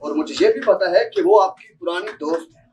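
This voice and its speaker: A man's voice: high voice, expressive, a little fast, a crowd in the background. A student actor, a young man with a Pakistani accent, playing an insistent, politely menacing bank clerk in an amateur stage drama. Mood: politely probing. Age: young adult. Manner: formal, insistent, repeatedly addressing the listener politely. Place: seminar hall stage. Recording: distant mic in a hall.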